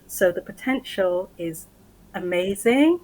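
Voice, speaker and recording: Earnest author on a video-call headset. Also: female voice, medium pitch, takes it slowly, rough recording. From Barbados and London. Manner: articulate, earnest, animated. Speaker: author guest. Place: small room at home.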